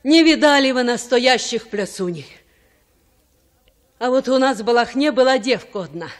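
A woman's voice: on the high side, animated, close to an everyday voice. An actress playing an old village grandmother in a radio drama, telling things in a folksy, fond way. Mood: fond reminiscing. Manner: folksy, unhurried storytelling.

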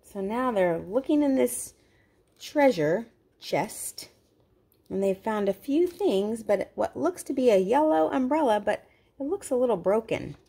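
A woman's voice: a little high, animated, a little slow, vocal fry, dark tone, slightly rough. A woman reading a picture book aloud to young children, expressively, with simple explanatory asides. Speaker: children's read-aloud host.

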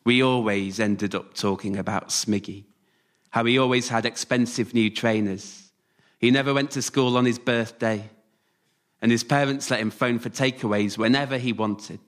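A man performing a scripted autobiographical story live, delivered in measured short phrases. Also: medium pitch. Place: radio theatre stage, before a live audience.

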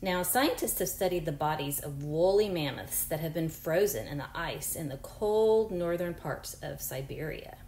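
Woman in her forties, lowish voice, lively intonation, encouraging teacher read-aloud for young children, roomy sound. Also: normal speed, few pauses. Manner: encouraging, addresses unseen children with questions. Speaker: teacher reading a picture book to children. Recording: roomy echo, faint background noise.

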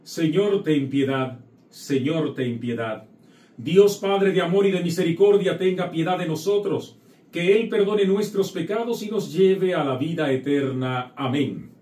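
Priest guy doing mass, voice a bit high, lots of room echo. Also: a little slow, warm tone. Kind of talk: liturgical prayer, scripture reading and address to the faithful. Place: indoor chapel set at an altar. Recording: echoey room, distant microphone.

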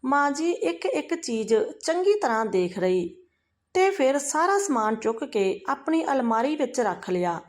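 A woman reading a scripted, emotional family story in an expressive, dramatized way. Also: high voice, measured pace.

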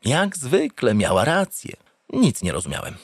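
Wildly animated, pompous male starship captain. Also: medium pitch, unhurried, vocal fry, breathy edge, no echo, studio quality, exaggerated voice. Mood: proud, doting. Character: naive, self-satisfied, doting male spaceship captain. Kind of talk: comic first-person radio drama narration.

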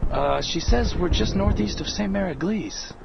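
An actor playing a deferential young soldier, speaking in a quiet, hesitant way in a war game. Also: male voice, sits high, moderate pace, gravelly, noisy background. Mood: subdued, hesitant. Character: deferential young American soldier translating French. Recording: dull audio, distant artillery ambience.

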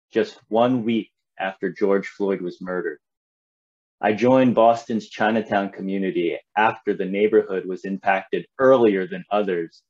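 Man, 30s, low voice; earnest, measured panel remarks over slightly dull video-call audio. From southern New Jersey. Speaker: nonprofit CEO, panelist. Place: home room on a video call.

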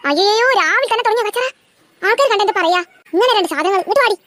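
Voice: very high voice, expressive, quick pace, a bit bright, no echo. A performer voicing an exasperated, bustling mother in a comedy cartoon, nagging and coaxing. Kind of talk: Malayalam comedy cartoon dialogue.

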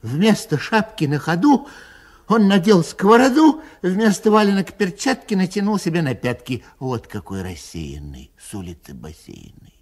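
A man reading a children's rhyming poem aloud in a sing-song, lively way. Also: high-pitched, moderate pace. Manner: lively, sing-song delivery with a recurring refrain. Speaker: narrator.

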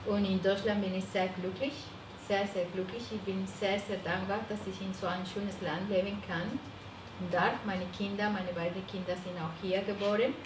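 A woman's voice: mid-range voice, flat delivery, normal speed. A woman in her forties from Colombia with a Colombian Spanish accent, speaking earnestly on a panel with small grammar slips. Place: seminar room with a panel. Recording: distant mic in an echoey noisy room.

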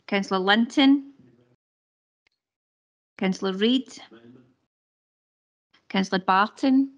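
A woman running a formal council meeting, calling out names for a roll-call vote in a brisk, procedural way. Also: mid-range voice, lo-fi sound.

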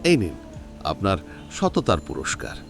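A man's voice: low voice, huge pitch swings, unhurried, creaky, soft background music, exaggerated voice. An actor playing a wealthy, generous businessman with an emphatic, effusive manner. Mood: grateful, admiring.

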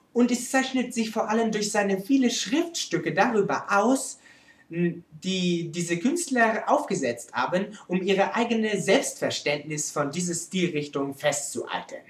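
A woman playing a vain, theatrical male French artist with a French accent, presenting a comic art history lecture in broken German. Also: fairly low pitch. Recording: roomy, echoing amateur recording.

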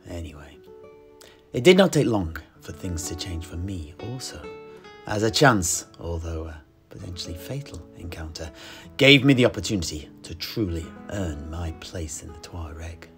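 Male storyteller, low pitch, theatrical, dramatic pauses, fantasy tale, light string music. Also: expressive, fairly bright tone. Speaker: storyteller, in-character tavern keeper. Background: plucked-string music.

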